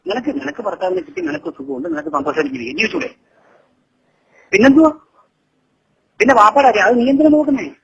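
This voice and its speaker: Middle-aged guy on a phone call, gravelly voice, swoops up and down a lot, insists and keeps repeating, dull muffled recording. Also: high voice, expressive, quick pace, long pauses, fairly bright tone. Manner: insistent, pleading, repeats himself. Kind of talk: heated private phone argument.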